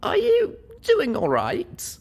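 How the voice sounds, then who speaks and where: A man's voice: extremely high pitch, huge pitch swings, takes it slowly, vocal fry, a little mellow, pitched above the performer's own voice, full character voice. A man voicing a small, anxious young pony heroine with a fretful, earnest manner in an audiobook story. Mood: anxious, hesitant.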